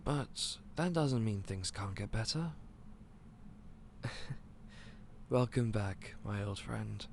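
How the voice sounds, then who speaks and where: An actor playing a gentle, clumsy candy shop owner who stammers and speaks in a polite, old-fashioned way. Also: male voice, lowish voice, expressive, slow, creaky, long pauses, slight background noise, exaggerated voice. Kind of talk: audio roleplay acting.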